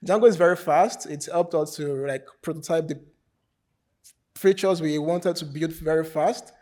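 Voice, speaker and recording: A man giving an informal lightning talk at a conference as a software developer, sometimes repeating his words. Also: fairly high pitch, moderate pace. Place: conference stage.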